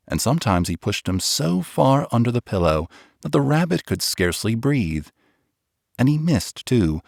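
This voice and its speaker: A man narrating a children's storybook in a gentle, expressive way, slowing on the tender lines. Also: medium pitch, no echo, studio quality. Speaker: audiobook narrator.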